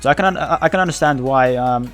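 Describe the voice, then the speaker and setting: Halting Dutch-accented male streamer. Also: medium pitch, a bit bright, soft background music. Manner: halting, searching for words, many repeated starts. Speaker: livestreamer. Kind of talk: casual livestream chat.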